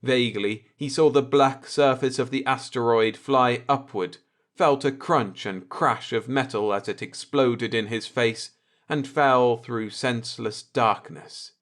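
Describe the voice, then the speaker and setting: A man reading a scripted sci-fi story as an audiobook narrator, in a measured, dramatic way. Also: medium pitch, a bit bright.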